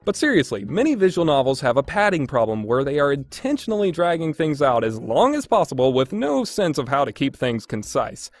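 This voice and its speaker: Higher-voiced man reviewing games, lively pitch. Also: brisk, few pauses, dry recording. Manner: opinionated, informal. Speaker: video game reviewer and host. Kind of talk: scripted countdown review narration.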